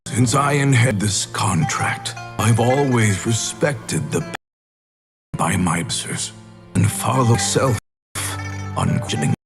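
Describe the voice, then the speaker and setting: A man playing a solemn, weary doctor who tells a legend gravely and slowly in a fantasy game. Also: on the low side, animated, music in the background. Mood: grave, regretful. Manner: slow, grave, measured storytelling with long pauses. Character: solemn, weary male doctor recounting a legendary healing contract. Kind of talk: dramatic story narration in a fantasy game.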